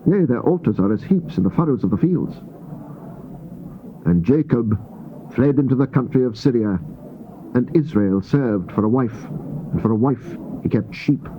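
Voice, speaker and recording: A man gives a grave, theatrical scripture reading, declaiming with deliberate phrasing and emphatic pauses. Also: mid-range voice. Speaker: scripture narrator. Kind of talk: dramatized Bible reading, solemn and theatrical. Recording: dull, noisy old cassette tape transfer.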